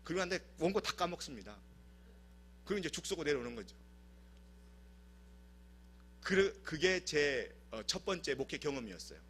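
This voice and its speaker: A man from South Korea preaching a sermon in church, telling stories in an animated, humorous way. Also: fairly high pitch, moderate pace, pauses often, slight background noise. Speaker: pastor. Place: church service.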